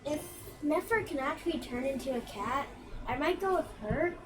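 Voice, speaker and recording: A woman's voice: sits high, expressive, normal speed, noisy background. A woman chatting casually over video game play. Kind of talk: casual chat over gameplay. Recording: distant mic, music behind.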